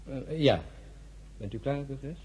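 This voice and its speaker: A man's voice: medium pitch, measured pace, darkish tone, a little hiss or hum, natural voice, low-quality recording. A man playing a courteous, firm French army general in a radio drama, speaking politely and formally. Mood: reserved.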